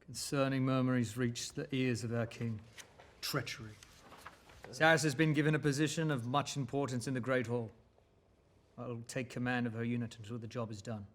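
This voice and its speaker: A man's voice: medium pitch, little pitch movement, normal speed, lightly acted. A man playing a stern forty-year-old military commander, curt and commanding, in a fantasy table read. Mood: stern, accusing.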